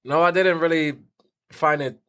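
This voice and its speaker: Relaxed man in his thirties from Puerto Rico. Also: a little high, normal speed, clear, resonant tone. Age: 30s. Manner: relaxed, hesitant with fillers. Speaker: podcast guest. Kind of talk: casual interview answers.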